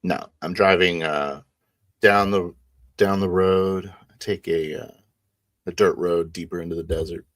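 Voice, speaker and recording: Male, deep voice, casual and halting improvised tabletop roleplay. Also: steady tone, fairly bright tone. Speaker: player in a tabletop roleplaying game. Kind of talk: improvised in-character roleplay and first-person narration.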